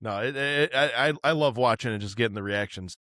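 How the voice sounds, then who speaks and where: A man's voice: mid-range voice, fairly quick, a bit bright, dry recording, studio quality. A man hosting a podcast in casual chat, stammering and repeating words as he builds his questions. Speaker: podcast host.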